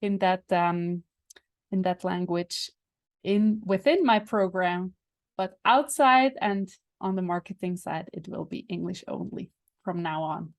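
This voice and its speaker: A woman whose first language is Swiss German, hosting her own podcast in English and making a casual announcement. She pauses to search for words, with fillers and restarts. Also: fairly low pitch. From Switzerland. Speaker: podcast host.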